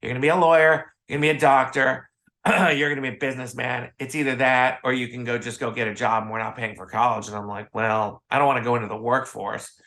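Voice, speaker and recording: American man, podcast guest, bright forceful voice, chatty, casual storyteller, acts out dialogue. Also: mid-range voice, fairly even intonation, quick pace. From Chicago, United States.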